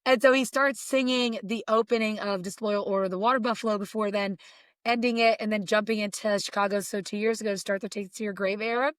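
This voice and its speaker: A woman co-hosting a podcast, hoarse today, chatting excitedly about bands she loves. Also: medium pitch, quick pace, no room sound. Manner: chatty, excited fan storytelling. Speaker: podcast co-host. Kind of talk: casual podcast chat.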